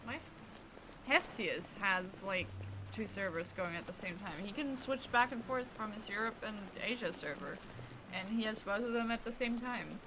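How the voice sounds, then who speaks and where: A woman's voice: medium pitch, lively intonation, normal speed, noisy background. A woman chatting casually on a live stream while she plays a game, musing and often trailing off mid-thought. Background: game music and sound effects.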